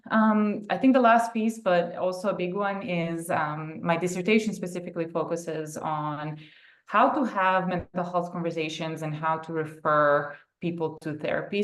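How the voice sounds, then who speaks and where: Young female therapist, low pitch, conversational interview guest on a video call. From Serbia. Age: young adult. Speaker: guest, therapist and sports psychology consultant.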